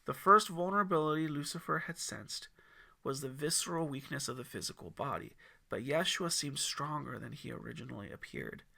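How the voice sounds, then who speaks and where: Guy reading a dramatic audiobook, sharp forceful voice, bit of gravel in it. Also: fairly high pitch, animated, fairly quick. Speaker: author and narrator.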